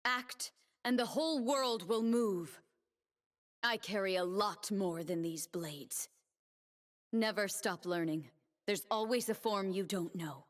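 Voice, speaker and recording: Actress doing a solemn young warrior woman from a video game, slow and measured, dead set on her cause. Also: mid-range voice, close to an everyday voice. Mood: solemn, resolute. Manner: measured, earnest, resolute delivery. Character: solemn, determined young woman warrior, a graceful blade dancer. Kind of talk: scripted video game character voice lines.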